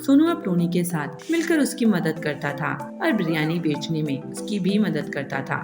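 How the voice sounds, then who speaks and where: A woman's voice: on the low side, very animated, moderate pace. Echoey female children's story narrator. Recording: echoey, music behind.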